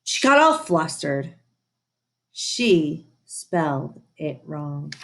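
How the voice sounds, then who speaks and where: A woman reading a children's story aloud in an expressive, emphatic, teacherly way, with asides to her listeners. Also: low voice, very slow. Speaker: storybook reader.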